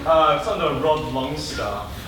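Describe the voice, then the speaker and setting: A man's voice: fairly high pitch, animated, a little slow. A man on stage playing a brazen, sleazy customer with a suggestive, teasing way of talking. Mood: cheeky. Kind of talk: live comedic stage acting. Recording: very echoey, noisy hall from far off.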